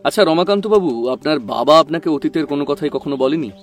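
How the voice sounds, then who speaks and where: An actor playing a composed, probing male detective in an audio drama, questioning in a deliberate way. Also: on the high side, lively intonation, faint music behind, natural voice. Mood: analytical. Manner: inquisitive, deliberate.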